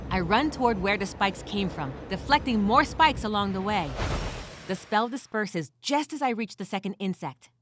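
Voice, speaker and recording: A woman's voice: medium pitch, animated, normal speed, background noise, natural voice. A woman voicing a weary but gritty furred female adventurer who tells her story in the first person with wry asides, in an audio drama style. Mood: tense, urgent.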